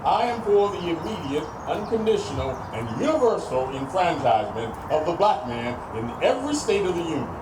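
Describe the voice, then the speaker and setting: Far from the microphone, in an echoey, heavily noisy recording, a 19th-century abolitionist orator played by a man gives a political oration. He is impassioned, grave and defiant. He speaks emphatically, pausing deliberately for rhetorical weight in this live historical dramatization. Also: on the high side, very animated. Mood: emphatic, defiant. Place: public re-enactment at a farm park.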